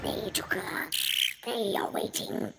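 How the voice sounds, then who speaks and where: A woman playing a grave, cryptic alien servant of a goddess in a sci-fi audio drama, speaking tersely in a breathy rasp. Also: music in the background, lightly acted. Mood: ominous. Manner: slow, terse, ominous.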